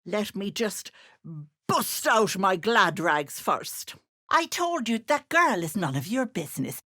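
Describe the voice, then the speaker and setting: A wicked old hag from a video game, posing as everyone's sweet auntie. Her delivery is theatrical and unhurried, swooping up and down in pitch. She coos cloying endearments, then slips into menace, all in a close, dry recording. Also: sing-song, sharp tone, dry recording, exaggerated voice. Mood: coaxing. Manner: cloying pet names sliding into menace.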